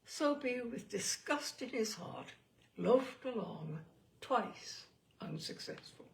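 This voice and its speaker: Female storyteller for classic fiction. Slow, theatrical delivery with a gravelly edge. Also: a little high, expressive. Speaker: story reader. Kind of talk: expressive audiobook narration of classic short fiction.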